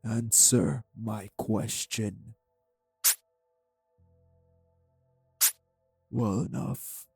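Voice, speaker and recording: A man playing a cold, manipulative vampire villain in an audio roleplay, speaking with deliberate, condescending menace in a husky, gravelly voice. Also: lowish voice, steady tone, darkish tone, no room sound, clean studio sound, soft background music, exaggerated voice. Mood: condescending, cruel. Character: cold, manipulative male vampire taunting his captive. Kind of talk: dramatic audio roleplay acting.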